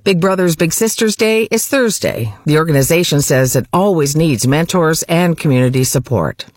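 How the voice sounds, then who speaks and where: A woman's voice: low-pitched, expressive, a little mellow, dry recording, studio quality. Woman reading local radio news. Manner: crisp, measured news-reading delivery. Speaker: radio newscaster. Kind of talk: scripted radio newscast. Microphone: studio microphone.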